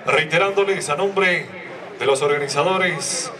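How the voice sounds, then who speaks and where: A man's voice: a little high, measured pace, crowd noise. A man with an Ecuadorian accent announcing a ceremony as its host. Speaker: master of ceremonies. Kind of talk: event announcing. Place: outdoor sports ground.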